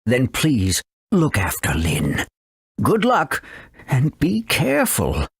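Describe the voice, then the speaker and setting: A man voicing a fussy, caring elderly owl with a raspy voice and theatrical delivery in a video game. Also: mid-range voice, wildly swooping pitch, slow pace, no room sound, clean studio sound, exaggerated voice. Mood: earnest, gentle. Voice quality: raspy, creaky.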